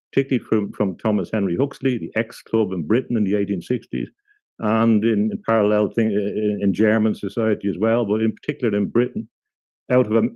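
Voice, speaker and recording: A man giving thoughtful, halting explanations as an interview guest, often restarting his sentences. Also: on the low side, dark tone, no echo.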